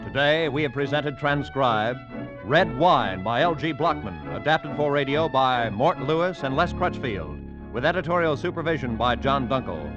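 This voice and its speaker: A man's voice: medium pitch, animated, normal speed, lo-fi sound. A man reading as a formal, polished 1940s radio announcer with emphatic delivery. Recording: band-limited old radio recording. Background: music.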